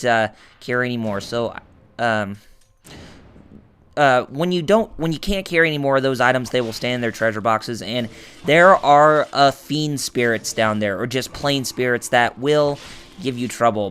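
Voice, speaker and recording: Male let's-play host, hoarse and raspy, chatty and rambling; bright tone. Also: mid-range voice. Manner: rambling, full of fillers and restarts, jokey. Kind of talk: gameplay commentary.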